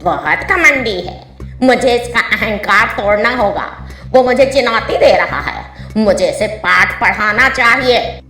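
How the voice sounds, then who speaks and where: Bright, echoing woman as a mischievous ghost woman. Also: a little high, expressive, unhurried, faint music behind. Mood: taunting. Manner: taunting, theatrical, gloating. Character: mischievous gleeful female ghost who loves scaring travellers. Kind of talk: Hindi cartoon moral story voice acting.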